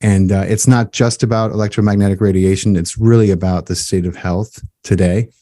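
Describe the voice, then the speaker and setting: A man's voice: on the low side, steady tone, brisk, mellow, no room sound, clean studio sound. A man hosting a podcast in casual chat, laid-back and slangy as he introduces and questions his guest. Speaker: podcast host.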